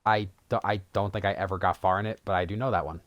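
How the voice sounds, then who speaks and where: A man with a deep, lowish voice who talks quickly. He chats with a friend during a game stream in an easygoing way, dropping wry remarks. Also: fairly even intonation, muffled recording. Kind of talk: casual chat while gaming.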